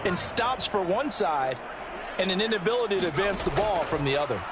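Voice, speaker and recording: A high-pitched American male football analyst talks over very loud game noise. He breaks plays down in a conversational, explanatory way. His points land with emphasis, as in a broadcast booth commentary. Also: lively intonation, brisk, a loud crowd. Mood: emphatic. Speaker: color analyst in a video game.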